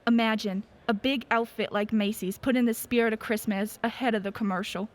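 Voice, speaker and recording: A woman playing an effusive, chatty shopper mother in a radio play, with a brisk, emphatic manner. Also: a little high, steady tone, lightly acted. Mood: enthusiastic.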